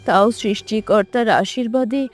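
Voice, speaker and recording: A woman voicing a gentle, consoling queen in a cartoon folk tale. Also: on the high side, steady tone, normal speed, lightly acted.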